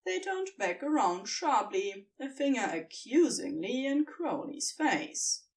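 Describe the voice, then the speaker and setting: Slow, higher-pitched woman narrating expressively. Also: big pitch swings, far from the mic. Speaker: audiobook narrator. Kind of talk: expressive fan fiction narration.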